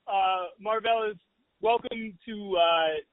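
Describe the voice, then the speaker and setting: A man hosting a podcast, asking questions in an easygoing, halting way. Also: sits high, no room sound. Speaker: podcast host. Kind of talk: casual interview. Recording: dry, phone-like band.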